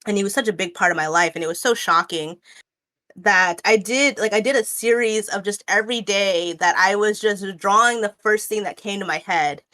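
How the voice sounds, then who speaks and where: A woman's voice: medium pitch, normal speed, sharp tone, low-quality recording. Chatty woman in her late twenties. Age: 20s. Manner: chatty, rambling, many fillers and restarts. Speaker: guest artist. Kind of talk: casual chat.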